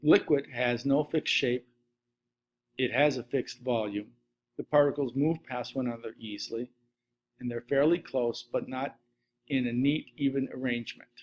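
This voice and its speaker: Guy teaching science into his webcam, steady listy delivery, middle-aged. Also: mid-range voice, normal speed. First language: Latvian. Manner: steady list-reading delivery. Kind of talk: recorded slide lesson.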